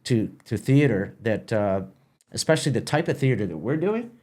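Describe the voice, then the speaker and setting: Canadian guy chatting on a podcast, medium pitch, halting and rambly, keeps doubling back on words. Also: big pitch swings, a little mellow. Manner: halting, rambling, repeats words while explaining. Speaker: festival organiser and stage manager, podcast guest. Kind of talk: casual interview chat.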